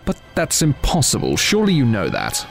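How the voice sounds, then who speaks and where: A man's voice: medium pitch, huge pitch swings, a little fast, gravelly, faint music behind. A man playing a protective, exasperated soldier leading his squad in an audio drama, urgent and commanding.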